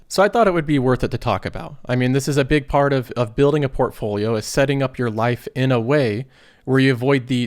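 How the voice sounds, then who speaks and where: A man's voice: mid-range voice, no room sound, clean studio sound. Man with a cold talking personal finance. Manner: earnest, conversational, with restarts. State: recovering from a cold. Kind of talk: casual explanatory monologue.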